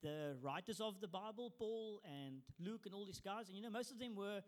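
A man's voice: high-pitched, expressive, normal speed. A man giving conversational answers on a panel in an assured, plain-spoken way.

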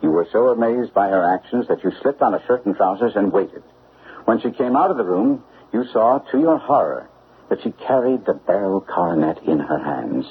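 Male actor as shrewd, exacting radio detective. Low, animated, formal delivery on a narrow, phone-like old recording. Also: moderate pace, a little hiss or hum, close to an everyday voice. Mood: stern, explaining. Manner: precise, formal, incisive delivery. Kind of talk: classic radio drama acting.

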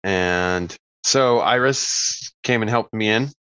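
A man's voice: on the low side, slow, a bit bright, smooth, dry recording, poor audio. A man talking casually as a player in a tabletop roleplaying game, describing his character's moves.

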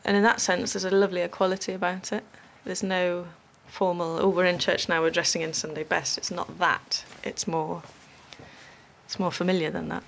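A woman vicar calmly explaining how a church carol service works, in casual conversation. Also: on the low side, normal speed, vocal fry, a little hiss or hum.

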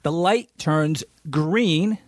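A man, co-hosting a radio show in a studio, chatty and quick to riff along. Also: on the high side, no echo. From New Jersey. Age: 40s. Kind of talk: casual banter.

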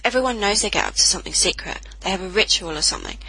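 A woman's voice: medium pitch, animated, very fast, vocal fry, sharp tone, slight background noise, rough recording. A woman playing a headstrong, impulsive young girl in an audio drama, defiant and urgent.

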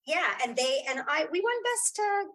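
A woman's voice: high voice, big pitch swings, moderate pace, a bit bright. A woman chatting informally as an interview guest, emphatic and encouraging, repeating phrases to make her point. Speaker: interview guest, podcaster and audio drama creator. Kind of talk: casual interview chat.

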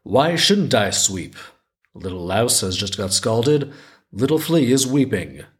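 A man's voice: mid-range voice, sing-song, slow. A man reading the part of a frantic, defensive little household object in a folktale, in an emphatic, indignant recital.

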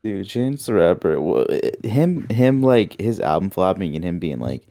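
Man, casual chat, deadpan, mellow dark voice, dry sound. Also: low voice, unhurried, creaky, no echo.

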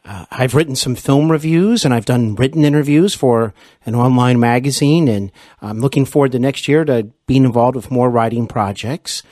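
A man's voice: medium pitch, moderate pace, mellow, no echo. Encouraging male interview host, dry sound. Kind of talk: conversational interview.

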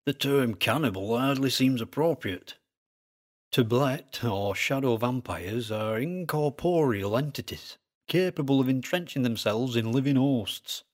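A man's voice: mid-range voice, expressive, unhurried, voice pushed up, natural voice. Man doing a broad-voiced Lancastrian man. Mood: earnest explaining. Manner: broad, earnest explaining tone.

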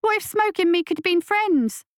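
A woman voicing a frightened but earnest young street urchin boy with rough, uneducated speech in an audiobook story. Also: very high voice, a little fast, dry recording, clean studio sound, pitched above the performer's own voice. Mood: pleading. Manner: uneducated street dialect, pleading questions.

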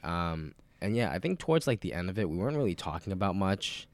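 A man with a very low voice who sounds nasal and stuffed up from a cold. He hosts a podcast in casual chat, rambling loosely, swearing freely and filling pauses with lots of "um" and "like.". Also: very animated, dry recording. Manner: loose, rambling and profane, with many fillers. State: sick with a cold. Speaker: podcast host.

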